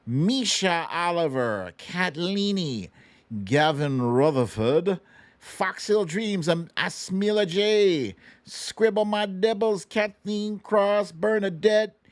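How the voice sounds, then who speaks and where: A man's voice: high-pitched, expressive, slow pace, no room sound. A man hosting a casual livestream quiz, chatty and playful as he banters and calls out to viewers. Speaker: host of a live online show.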